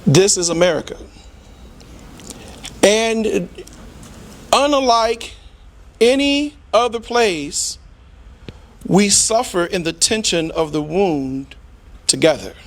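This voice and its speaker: A middle-aged man giving an impassioned, preacher-like public speech in a deliberate, rhetorical manner with emphatic repetition. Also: high-pitched, animated, slight background noise. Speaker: community speaker.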